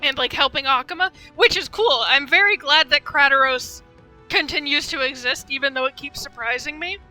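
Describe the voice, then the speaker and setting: A woman's voice: high-pitched, normal speed, bright, forceful tone, soft background music, rough recording. A young woman streaming a game, chatting casually and rambling through asides as she comments.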